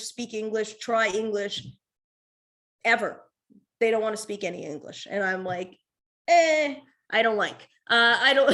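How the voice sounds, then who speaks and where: A woman speaking in a relaxed, rambling way as a podcast guest. Her pitch sits in the middle, and she laughs easily and restarts her sentences often. The overall feel is casual, animated conversation. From North America.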